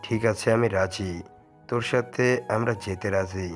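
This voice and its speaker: A poor, worn-down father begs his brother for a roof over his family's head, played by a man. His voice is low and submissive, with faint music underneath. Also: fairly even intonation, measured pace, natural voice. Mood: pleading. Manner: pleading, submissive. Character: poor pleading middle-aged father.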